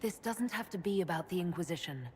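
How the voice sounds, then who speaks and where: A woman acting a composed leader-heroine in a video game drama. Her slightly low voice is calm and reassuring yet moves freely in pitch. A little noise sits in the background. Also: fast, lightly acted. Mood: gentle, reassuring. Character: composed, gently wry heroine, supportive leader.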